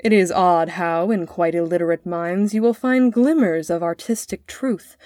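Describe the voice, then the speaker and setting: A woman voicing a cultured gentleman, kindly but smug and patronising, in a storybook reading. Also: lowish voice, moderate pace, dry recording, clean studio sound, lightly acted. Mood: smiling condescension.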